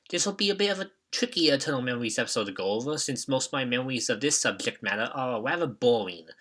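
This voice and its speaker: A man's voice: medium pitch, pushed and bright. A young man hosting a video, reading a scripted retrospective narration. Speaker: video host.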